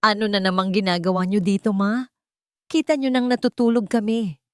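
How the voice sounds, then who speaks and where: A woman voicing a defensive wife in an audio drama, sharp and accusatory. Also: medium pitch, fairly even intonation, moderate pace, clean tone, no echo, clean studio sound, pitched above the performer's own voice, natural voice. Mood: angry, accusing. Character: defensive, accusing wife, quick to blame her mother.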